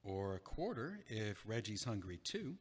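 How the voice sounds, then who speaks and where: A man's voice: fairly low pitch, sing-song, unhurried, breathy edge, full character voice. An actor playing an older male friend, exasperated but caring, in an audio play. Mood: earnest, caring. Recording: echoey hall with long reverb.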